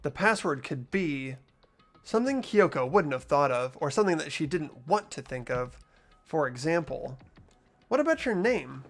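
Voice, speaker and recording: Guy voicing anxious earnest schoolboy hero in a game, really lively highish voice, music behind him. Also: normal speed. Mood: thoughtful, hesitant. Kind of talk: amateur voiced video game playthrough, dialogue and narration.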